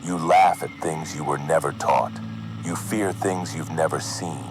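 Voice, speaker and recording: A man narrating an analog horror video as a cryptic, foreboding figure, slowly and deliberately in short, measured phrases. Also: very deep voice, poor audio. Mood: eerie, musing. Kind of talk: slow scripted horror narration addressed to the listener. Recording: music under the voice.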